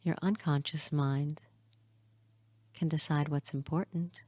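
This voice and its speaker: Very slow, low, mellow woman guiding meditation. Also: steady tone, no room sound. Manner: soothing, hushed, with long pauses between short phrases. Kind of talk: guided hypnosis meditation.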